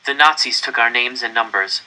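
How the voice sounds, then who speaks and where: A man reading a first-person story aloud in an expressive, deliberate, dramatic way. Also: mid-range voice, rough recording. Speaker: audiobook reader.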